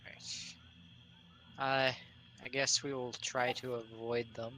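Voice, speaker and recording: Bright-toned cautious male player. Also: medium pitch, flat delivery, takes it slowly, slight background noise, poor audio. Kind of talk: casual tabletop play.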